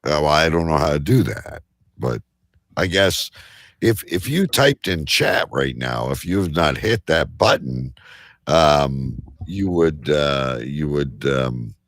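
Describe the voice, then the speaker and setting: Very deep-voiced man, lively and teasing. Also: dry recording, clean studio sound. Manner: joking, teasing, halting. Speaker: host of a live online show. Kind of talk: casual chat, hosting a live show.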